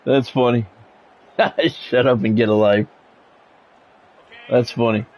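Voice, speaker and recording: A man's voice: mid-range voice, fairly even intonation, takes it slowly, dull audio. A man streaming a football video game, mixing play-by-play commentary with casual, blunt, salty chat.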